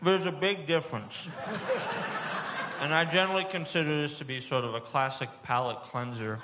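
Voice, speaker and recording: A man with a somewhat high voice plays up a pompous, mock-serious connoisseur in a live comedy bit. His voice rings through a hall with very loud noise behind it. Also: fairly even intonation, moderate pace. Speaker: comic resident cheese expert. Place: stage before a live audience.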